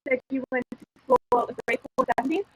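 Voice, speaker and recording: A woman in her forties hosting an interview, eager and quick with interjections. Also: high voice, sing-song, vocal fry, rough recording. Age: 40s. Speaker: host. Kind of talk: casual interview conversation. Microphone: online video call. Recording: faint noise on online call.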